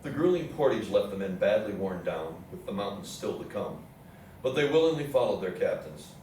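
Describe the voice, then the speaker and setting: Echoey, low-voiced man narrating history. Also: big pitch swings, normal speed, darkish tone. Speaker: narrator in a readers theatre play. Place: indoor theatre room with audience program. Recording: echoey hall, distant mic, faint noise.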